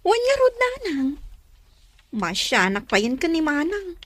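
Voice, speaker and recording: A woman acting outspoken kid sister, very high voice, animated, dry close sound, radio drama. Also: unhurried, no echo, exaggerated voice. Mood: exasperated. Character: young, concerned, outspoken younger sister.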